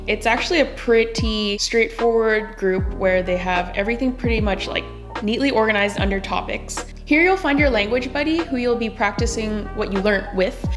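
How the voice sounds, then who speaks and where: A woman hosting her language channel. She reviews a course in a casual, upbeat way and reads out a tutor's corrections with her own remarks. Her first language is Cantonese. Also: medium pitch. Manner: upbeat, reads corrections aloud and comments on them.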